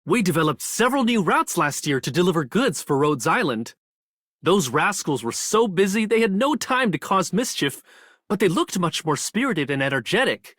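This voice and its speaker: High male voice, animated, cheerful, courteous merchant, dry studio, game character. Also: normal speed, no room sound, full character voice. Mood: cheerful, genial. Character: cheerful, courteous merchant man running deliveries.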